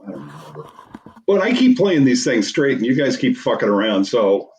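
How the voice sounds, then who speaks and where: A man's voice: mid-range voice, huge pitch swings, moderate pace, a little mellow, recorded from a distance, slight background noise. A man who works as a voice actor, chatting casually and telling anecdotes in a group conversation.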